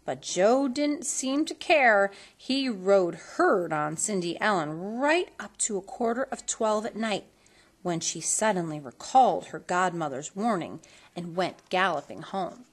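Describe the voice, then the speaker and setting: A woman reading a children's storybook aloud in an expressive, theatrical manner that leans on colorful words. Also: mid-range voice, unhurried, breathy edge. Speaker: storybook reader.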